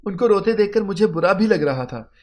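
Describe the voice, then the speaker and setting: A man's voice: sits high, lively intonation, brisk. A man narrating a scripted, dramatic first-person story as a storyteller.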